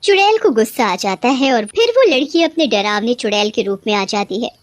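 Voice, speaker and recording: A woman's voice: on the high side, very animated, a little fast, no echo. A woman storyteller reading a scripted children's story as narrator.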